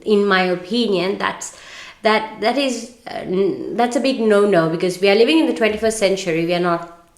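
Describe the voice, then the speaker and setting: A woman speaks as a podcast co-host, at a middling pitch. Her delivery is informal and halting, often tripping and starting again. The room around her rings with noticeable echo. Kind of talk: reading a story aloud and casual discussion. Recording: echoey room.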